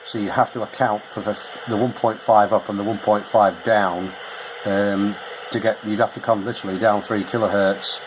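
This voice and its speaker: A man with a fairly low voice talks through technical details in a casual, matter-of-fact way. It is picked up on a handheld camera with clear background noise. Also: level tone, moderate pace. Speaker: radio modifier demonstrating his work. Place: small wood-panelled indoor radio bench. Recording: noisy handheld camera recording.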